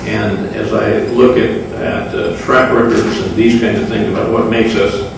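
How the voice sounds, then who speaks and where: A man speaking off the cuff as an official at a public meeting, halting, solemn and aggrieved. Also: lowish voice, steady tone. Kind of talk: extemporaneous public remarks. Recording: very echoey room, distant mic, clear noise.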